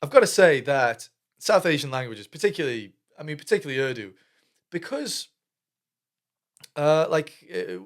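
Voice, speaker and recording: A man's voice: fairly high pitch, big pitch swings, moderate pace, long pauses. Hesitant young British man over music. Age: young adult. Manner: hesitant, thinking aloud with many fillers and restarts. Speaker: reaction video host. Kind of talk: casual commentary over music. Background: music playing faintly.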